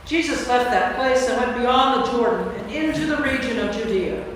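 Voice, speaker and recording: Woman narrator, high voice, scripted Bible narration, long church reverb, faint noise. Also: moderate pace. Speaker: narrator, priest. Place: large church interior. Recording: echoey church hall from far off, faint noise.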